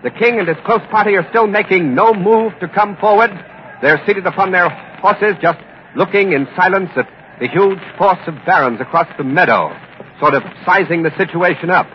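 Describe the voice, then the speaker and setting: A man's voice: on the high side, natural voice. A man acting as an on-the-scene reporter in a vintage radio news drama, describing events as they unfold. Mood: hushed suspense. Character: urgent male field reporter describing a live event. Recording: muffled, noisy vintage radio recording.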